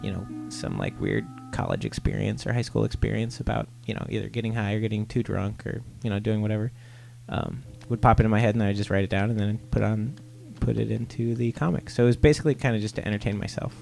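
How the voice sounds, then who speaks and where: A man from Ohio, a comic artist guest, giving relaxed, rambling interview answers with lots of "uh"s. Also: lowish voice, fast talker, vocal fry, few pauses, faint music behind. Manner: laid-back, rambling with many "uh"s.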